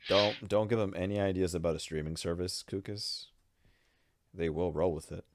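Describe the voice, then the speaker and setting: Very deep, gravelly man on a podcast. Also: fairly even intonation, moderate pace, heavy background noise. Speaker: podcast co-host. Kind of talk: casual group chat about pop culture.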